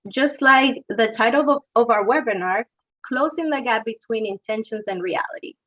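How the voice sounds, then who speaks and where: A woman's voice: on the high side, moderate pace, a bit bright, poor audio. A woman hosting a webinar, reading introductions and asking her guest questions in a friendly, scripted way. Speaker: webinar host.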